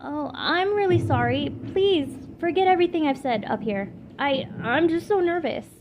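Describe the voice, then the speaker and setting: A woman acts out a sullen teenage stepsister from a storybook, bitter and fussy. Her voice is on the high side and whiny, with clear noise on the homemade recording. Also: animated, moderate pace. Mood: bitter, hurt. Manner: whining, complaining. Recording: clearly noisy amateur home recording.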